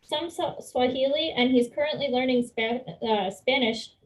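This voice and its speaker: A woman's voice: high voice, normal speed, rough recording. Gracious woman hosting a video call. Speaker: event host. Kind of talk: hosting a virtual meeting.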